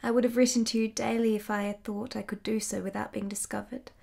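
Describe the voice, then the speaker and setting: Tender, devoted period-drama lover. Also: female voice, a little high, level tone, fast, gravelly, dark tone, breathy edge, full character voice. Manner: intimate, romantic, earnest. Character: tender, devoted married woman in a secret affair. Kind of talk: period radio drama acting.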